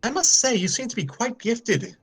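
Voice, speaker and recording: A man voicing a polite, earnest young female pony in a halting, girlish way. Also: very high voice, big pitch swings, fairly bright tone, breathy edge, faint music behind, voice pushed up, exaggerated voice. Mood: impressed, curious.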